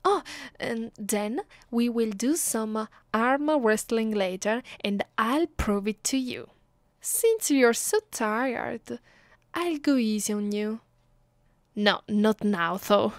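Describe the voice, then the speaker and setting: A woman with an Italian accent playing an affectionate, playful vampire girlfriend in an ASMR roleplay, speaking softly, intimately and teasingly. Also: fairly high pitch, dry recording. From Italy. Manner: soft, tender, teasing, intimate. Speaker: voice actress.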